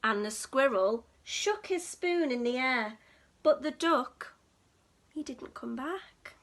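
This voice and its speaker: A woman reading a picture book aloud to young children in an expressive, dramatic storytelling manner. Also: high-pitched, slow pace. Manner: dramatic, with hushed whispers and gasps.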